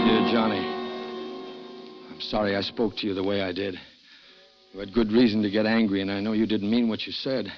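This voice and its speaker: A man's voice: on the low side, close to an everyday voice. An actor playing an anxious, scheming working-class man who narrates his own crime in old radio suspense style, tense and prone to stammering. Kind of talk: 1940s-50s radio suspense acting, first-person narration. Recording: dull vintage radio recording, music behind.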